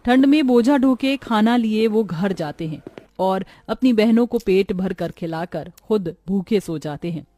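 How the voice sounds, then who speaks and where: A woman's voice: medium pitch, lively intonation, a little slow, mellow. A woman narrator reading a scripted moral story in a solemn storytelling manner.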